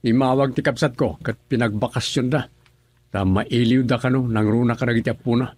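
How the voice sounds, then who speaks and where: An actor playing a young Ilocano husband in a radio drama, coaxing and evasive. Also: male voice, mid-range voice, steady tone, fairly quick, a little mellow, husky, natural voice.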